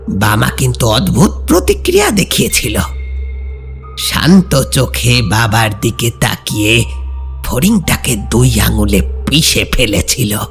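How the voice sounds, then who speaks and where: Male narrator for village ghost tales; gravelly fry, animated theatrical intonation, suspenseful pauses. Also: medium pitch. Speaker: horror story narrator. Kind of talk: dramatic scripted narration of a folk ghost story. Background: background music.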